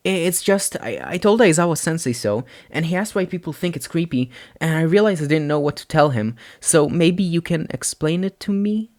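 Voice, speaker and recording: A man's voice: a little high, lively intonation, normal speed, few pauses, a little mellow, voice pushed up, close to an everyday voice. A man voicing an anxious, earnest teenage boy who stammers and hesitates in an audio story. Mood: anxious, hurt. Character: anxious, earnest, soft-hearted teenage boy hero student.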